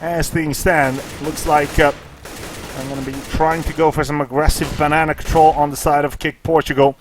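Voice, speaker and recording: A man casting a live esports match, excitable at big plays, with casual, jokey asides. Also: moderate pace, noisy background. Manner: excitable, rises in pitch and volume at big plays. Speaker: esports caster. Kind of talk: live play-by-play commentary.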